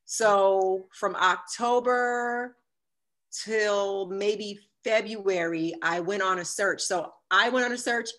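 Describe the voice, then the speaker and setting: A woman in her fifties with a deep, sultry voice, telling a personal story as a podcast guest and acting out the quoted dialogue. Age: 50s. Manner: animated, acts out quoted dialogue. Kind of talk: storytelling in a conversational interview.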